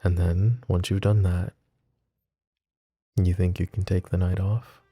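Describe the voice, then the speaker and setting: A man playing a flirty, possessive mafia boss who is smitten and vulnerable, speaking in soft, teasing, intimate ASMR roleplay. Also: sits low, flat delivery, a little slow, no room sound, full character voice. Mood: hushed, earnest. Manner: soft teasing banter with breathy chuckles.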